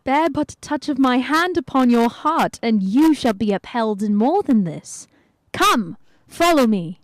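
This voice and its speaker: A woman playing a calm, ageless spirit in a radio play, speaking formally and solemnly. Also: on the high side, unhurried. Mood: gently commanding. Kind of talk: old-time radio play acting.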